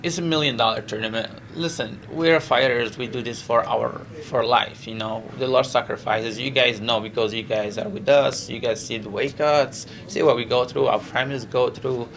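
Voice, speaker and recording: Gravelly Moroccan-accented male fighter. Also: mid-range voice, fairly even intonation, normal speed, hardly any pauses, a bit bright. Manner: earnest, repetitive, non-native English with frequent 'you know'. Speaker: professional MMA fighter. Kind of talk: press interview, casual chat.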